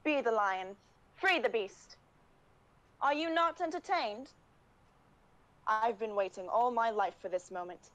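Sassy young fantasy heroine voiced by a woman, higher register, assured and proud. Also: lively intonation, unhurried, pauses often, a bit bright. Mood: proud, fierce. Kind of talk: character voice acting audition.

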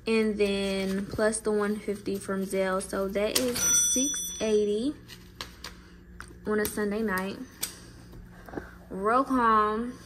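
A woman's voice: medium pitch, steady tone, slow pace, pauses often, very noisy. A young woman in her twenties chatting casually to a phone camera in her home kitchen, laid-back and full of fillers. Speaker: vlogger. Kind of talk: casual vlog chat to camera.

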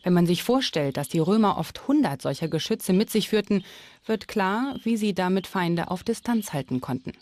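A woman's voice: medium pitch, lively intonation, normal speed, a little mellow. A woman reading scripted documentary narration.

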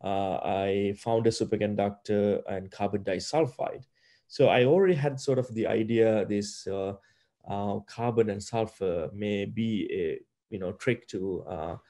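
Soft-toned man explaining with many fillers. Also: fairly low pitch, moderate pace. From Sri Lanka. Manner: hesitant, many fillers and restarts. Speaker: physics professor, guest. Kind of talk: interview over video call. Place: wooden-walled room at home. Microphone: video call.

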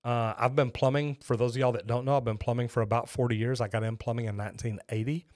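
A man's voice: mid-range voice, fairly even intonation, fast talker, darkish tone, no echo. A man, hosting a plumbing live stream in a folksy, rambling way on a close studio microphone. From Texas, USA. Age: 50s. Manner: folksy, rambling, chatty with restarts. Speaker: host, master plumber. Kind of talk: live-stream chat with viewers. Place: small home studio with shelves. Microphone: boom-arm studio microphone close up.

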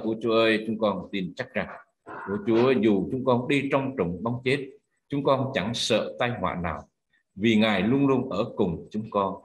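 A man's voice: fairly low pitch, low-quality recording. A man, a pastor, leading a spoken prayer with fervent repeated cries to God between phrases.

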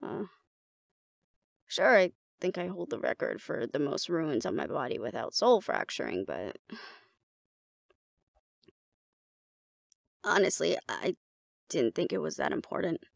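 A woman playing a battle-seasoned, fond and teasing mate in a roleplay audio drama, talking casually and intimately with a gravelly creak and hesitant pauses. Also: deep voice, big pitch swings, no echo, studio quality, heavily acted. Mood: hesitant, pensive.

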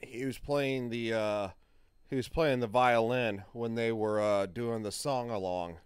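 A man's voice: mid-range voice, steady tone, measured pace, smooth, dry recording. A man in his forties giving casual reaction commentary in a hesitant, rambling way, recorded on a close desk mic at home. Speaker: reaction video host. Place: small room at home, indoors. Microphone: close studio mic on a desk, with headphones.

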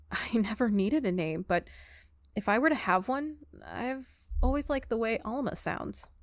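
A woman's voice: a little high, animated, a little slow, no echo, studio quality. A woman voicing a shy, awkward, friendly college ghost in a visual novel, nervous, hesitant and stammering.

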